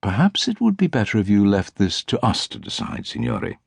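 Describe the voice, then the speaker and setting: Male narrator as middle-aged female hospital doctor, weary, clipped, lifted pitch, audiobook character, dry sound. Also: very animated, moderate pace, creaky, slightly rough, no room sound, full character voice. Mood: brisk, professional. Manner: clipped, professional, neutral.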